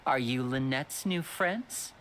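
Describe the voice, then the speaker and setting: An actor playing a polite, smooth young male stage magician in a video game, speaking courteously and reassuringly. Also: mid-range voice, expressive, takes it slowly, fairly bright tone. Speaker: game character.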